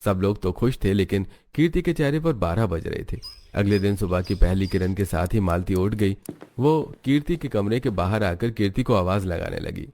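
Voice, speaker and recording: Calm male storyteller. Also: lowish voice, moderate pace, a little hiss or hum. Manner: even, storytelling. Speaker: narrator. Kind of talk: scripted story narration.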